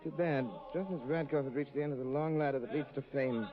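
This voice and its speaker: An actor playing a suave, wealthy gentleman detective in a 1940s radio drama, measured and knowing. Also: medium pitch, close to an everyday voice. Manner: smooth, measured, knowing delivery. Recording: muffled old radio broadcast with music.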